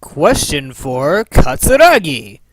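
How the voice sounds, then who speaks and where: Animated, high-swinging male show host. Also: slow, dry recording. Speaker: host of a fan Q and A. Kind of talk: scripted, playful presenting.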